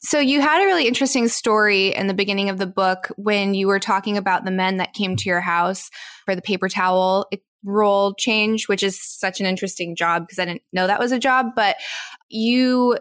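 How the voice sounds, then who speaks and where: A woman's voice: medium pitch, normal speed, a bit bright, dry recording. A woman hosting a podcast, asking curious, casual questions. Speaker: podcast host. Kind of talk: interview questions.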